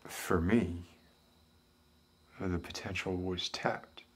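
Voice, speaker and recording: A man playing a world-weary, philosophical former detective with a Southern American accent, musing haltingly in an ASMR roleplay monologue. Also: low-pitched, fairly even intonation, a little hiss or hum. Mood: brooding, philosophical. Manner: deliberate, halting, musing, intellectual.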